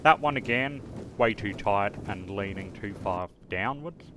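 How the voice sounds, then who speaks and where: A man's voice: on the low side, unhurried, background noise. A man narrating an unscripted step-by-step screen tutorial, instructing and thinking aloud as he works. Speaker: tutorial host.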